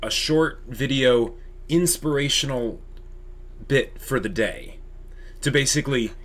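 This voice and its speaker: Male co-host, medium pitch, casual unscripted explaining, eager, halting, word repeats. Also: a bit bright. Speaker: co-host of an online channel.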